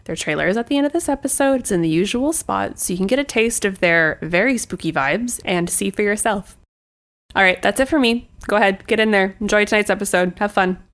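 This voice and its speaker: A woman's voice: mid-range voice, brisk, a bit bright. A woman speaking as a podcast host, giving a chatty, playful, informal recommendation straight to listeners.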